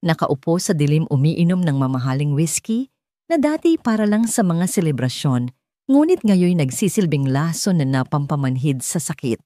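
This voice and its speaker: A woman's voice: deep voice, animated, normal speed, mellow, no echo, studio quality. A woman with a Filipino accent narrating a dramatic scripted audio story.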